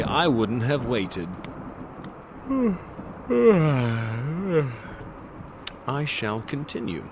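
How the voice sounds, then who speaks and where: An actor playing a gloating predatory monster who narrates a dark fantasy audio drama in a theatrical, savoring, sardonic manner. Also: male voice, mid-range voice, a little hiss or hum, exaggerated voice. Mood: sardonic, intrigued.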